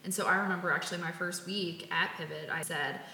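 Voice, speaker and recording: A woman's voice: lowish voice, fairly even intonation, brisk, vocal fry, bright, forceful tone, a little hiss or hum. A woman in her late thirties, chatting casually in an interview, full of fillers and restarts. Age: 30s. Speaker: interviewee, community volunteer. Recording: roomy echo.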